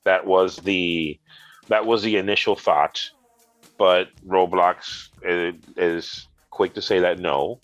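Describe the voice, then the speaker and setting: Congested, deep-voiced deadpan man. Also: slow pace, dry recording, dull audio, faint music behind. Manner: dry, deadpan jokes. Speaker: co-host, retro gaming specialist. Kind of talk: casual gaming news chat.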